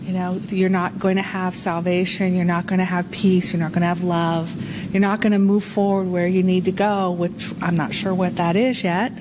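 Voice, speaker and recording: A woman's voice: fairly low pitch, little pitch movement, normal speed. A woman giving a frank, self-deprecating personal testimony to her church, speaking conversationally into a handheld microphone. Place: indoor church room among seated congregation. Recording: clear background room noise.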